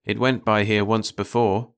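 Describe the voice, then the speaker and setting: A man's voice: on the low side, level tone, unhurried, pitched above the performer's own voice. Man voicing a wistful older lunchroom keeper. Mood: quiet insistence. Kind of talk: audiobook character dialogue.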